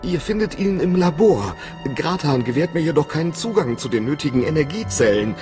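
An actor playing a nervous, boastful middle-aged weapons inventor in a video game, hesitant and eager to please. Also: male voice, fairly high pitch, big pitch swings, fairly quick. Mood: explaining, cautious. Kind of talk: video game voice acting in German. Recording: music behind the voice.

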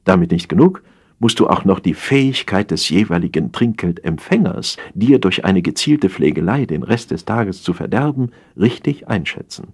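A man narrating a humorous satire as an audiobook reader, in a wry, theatrical storytelling manner with drawn-out emphasis. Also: low voice, animated, slightly rough.

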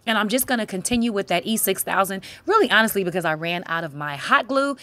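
American woman, middle-aged, playful and informal craft vlogger, mid-pitched voice. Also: animated, fast talker, a bit bright. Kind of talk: casual craft tutorial voice-over.

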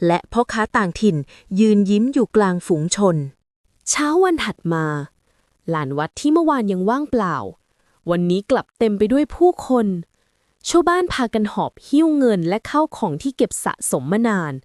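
A woman narrates a storybook tale in an expressive way. Her voice sits fairly high and moves with very lively intonation, recorded dry and close. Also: moderate pace, no echo.

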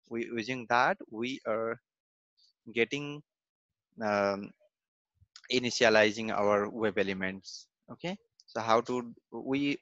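A man walks through a coding lesson over a screen recording, pausing often on fillers and saying "okay" and "fine." His voice sits at a middle pitch. The recording is dry and a little dull. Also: fairly bright tone, dry recording. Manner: hesitant, many fillers and repeated "okay, fine". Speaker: software testing instructor.

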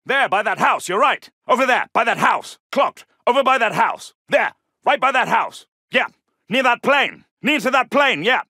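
High-pitched, urgent soldier. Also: male voice, sing-song, moderate pace, sharp tone, slightly rough, dry recording, studio quality, heavily acted. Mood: brisk, confirming. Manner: clipped, urgent, military. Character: alert, gruff British male Second World War soldier. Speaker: video game voice actor. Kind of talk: shouted combat callouts.

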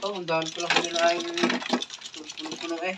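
A man's voice: a little high, level tone, fast. A man in his thirties from the Philippines, chatting casually to his viewers as he shows off his fishing catch and thanks them over and over. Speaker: vlogger, spearfisher. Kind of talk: casual vlog narration. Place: small tiled kitchen indoors, at night. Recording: distant phone audio with clear background noise.

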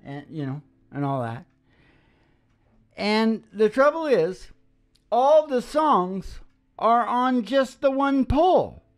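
A man's voice: very high voice, sing-song, slow, long pauses. An older man hosting a music show with jokey, folksy, casual banter, speaking close to a microphone. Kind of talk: casual rambling stage banter. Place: small wood-panelled room, indoors. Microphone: close stage microphone on a stand. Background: acoustic guitar.

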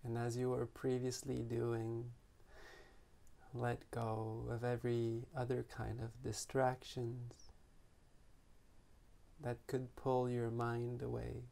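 A man leading a guided meditation as its teacher, speaking gently and unhurriedly with long pauses between phrases. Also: medium pitch, monotone, vocal fry.

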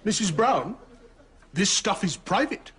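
A man playing a jolly old Santa Claus in a sitcom, with a husky voice. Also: sits high, very animated, a little slow, a bit bright, exaggerated voice. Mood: confidential. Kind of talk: sitcom acting.

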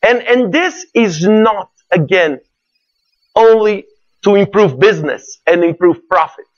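A middle-aged man from Brazil with a Brazilian Portuguese accent, giving an earnest conference talk in a large hall, halting with fillers and repeats. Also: sits high, dull audio. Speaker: conference speaker. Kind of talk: keynote talk.

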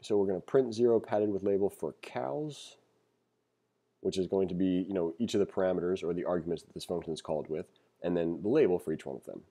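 Low, mellow man teaching code. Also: fast. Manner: thinking aloud, informal explanatory asides. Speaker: programming instructor. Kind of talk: casual read-along tutorial, reading aloud and commenting. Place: screen-recorded voice-over.

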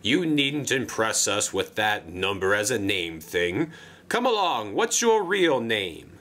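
A man's voice: on the low side, sing-song, slow, fairly bright tone, exaggerated voice. A man playing a pompous, polite older railway controller in a children's story, formal and effusive. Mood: hearty, eager. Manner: formal, effusive, flustered when surprised.

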